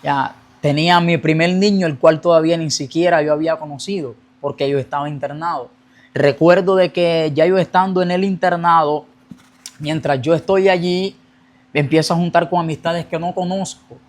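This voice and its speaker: A young man tells his personal testimony in an earnest storytelling voice. His voice sits a little high for a man, and he rushes forward whenever the memories get intense. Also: steady tone. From Colombia. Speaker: guest giving a testimony.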